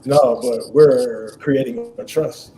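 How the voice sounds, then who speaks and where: Blunt deep-voiced man talking frankly. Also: takes it slowly, mellow, slight background noise. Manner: blunt, emphatic, swears freely. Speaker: guest. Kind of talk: casual live-stream chat.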